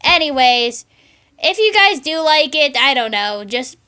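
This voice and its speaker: A woman's voice: high-pitched, big pitch swings, unhurried, bright, forceful tone. A woman with a girlish voice narrates fan fiction and keeps breaking off for informal asides. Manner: informal, self-interrupting. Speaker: host reading fan fiction. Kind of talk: story narration with casual asides.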